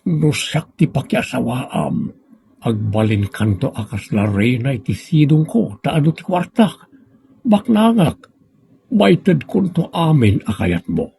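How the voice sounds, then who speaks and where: Man playing older rich suitor, creaky husky voice, boastful, wheedling, melodrama. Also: medium pitch, sing-song, slow, mellow, heavily acted. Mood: wooing, boastful. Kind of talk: sentimental audio drama acting.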